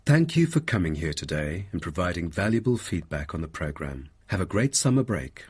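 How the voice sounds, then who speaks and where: A man's voice: deep voice, very animated, a little fast, breathy edge, exaggerated voice. A man playing a formal, polite college programme coordinator in a scripted exam dialogue. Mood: formal, inquiring. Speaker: programme staff member.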